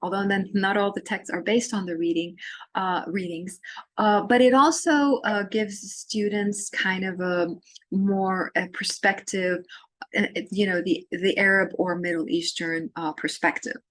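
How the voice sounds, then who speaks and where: A woman from Syria giving a recorded course lecture, talking in a halting way with many fillers and restarts. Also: medium pitch, fairly even intonation, a bit bright, dry recording. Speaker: literature lecturer.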